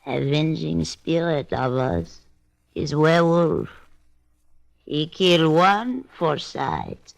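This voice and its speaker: Slow, creaky old woman. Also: deep voice, very animated, exaggerated voice. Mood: brooding. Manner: slow, halting, broken English, ominous. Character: old bayou woman, brooding, vengeful, last of her family. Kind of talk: old-time radio drama acting.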